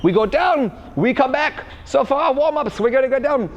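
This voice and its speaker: A man's voice: very high-pitched, animated, moderate pace, lo-fi sound, pitched above the performer's own voice. A man playing an overexcited parkour coach with a mock German accent, stretching words in a sing-song way and tagging lines with "yeah?". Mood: bouncy, instructive. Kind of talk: comedic tutorial.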